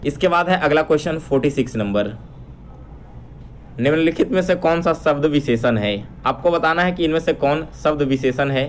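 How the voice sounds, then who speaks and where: A man's voice: fairly high pitch, expressive, normal speed, a little hiss or hum. A man teaching an exam-prep lesson, addressing learners directly and restating each question before explaining it.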